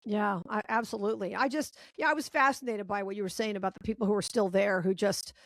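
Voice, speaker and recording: A woman's voice: medium pitch, steady tone, quick pace, no room sound. A woman hosting an interview, making her points emphatically in long run-on sentences. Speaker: talk show host.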